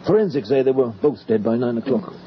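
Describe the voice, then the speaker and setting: An actor playing a grave, courteous English police inspector in a radio horror drama, measured and formal, on an old recording. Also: male voice, medium pitch, lively intonation, highs cut off, a little hiss or hum, natural voice. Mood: somber.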